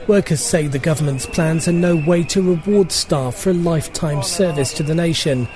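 A man's voice: on the high side, moderate pace, music in the background. A man delivering a scripted radio news report as a correspondent.

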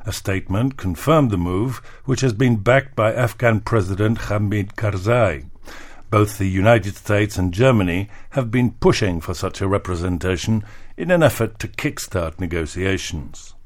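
Male newsreader, lower-pitched voice, scripted radio bulletin style. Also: normal speed. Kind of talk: scripted news reading.

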